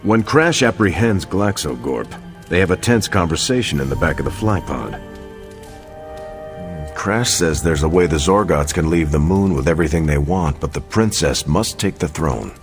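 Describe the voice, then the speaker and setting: A low-voiced, inquisitive hero. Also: male voice, expressive, moderate pace, soft background music. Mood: puzzled, curious. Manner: inquisitive, matter-of-fact. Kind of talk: video game cutscene dialogue, natural conversational acting.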